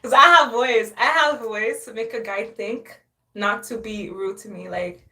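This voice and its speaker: A woman's voice: a little high, measured pace, bright, forceful tone. A young woman from Haiti chatting casually on a live stream, sassy, boastful and playful, often laughing. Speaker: livestreamer.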